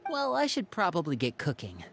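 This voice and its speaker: A man's voice: on the high side, huge pitch swings, brisk, a bit bright, a little hiss or hum, full character voice. A man playing a suave, protective young elder brother in a video game, with an assured, theatrical, probing manner. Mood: friendly, upbeat.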